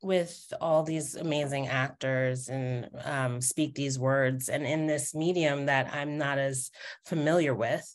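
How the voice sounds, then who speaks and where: A woman speaking casually and reflectively on a video call, halting as she searches for words. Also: rumbling low, fairly even intonation. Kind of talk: casual reflective panel talk. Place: home room, on a video call.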